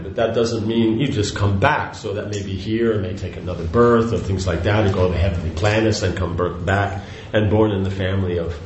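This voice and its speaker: A man's voice: lowish voice, darkish tone. An older man giving a devotional lecture, talking in a loose, rambling way with many restarts and asides. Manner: rambling, conversational, frequent "you know" and restarts. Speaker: spiritual teacher. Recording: echoey room with faint noise.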